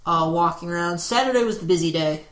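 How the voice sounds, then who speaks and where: A man's voice: on the high side, fast talker, fairly bright tone. Hesitant, rambling man in a roomy space. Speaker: podcast co-host. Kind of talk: casual chat. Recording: roomy echo, faint background noise.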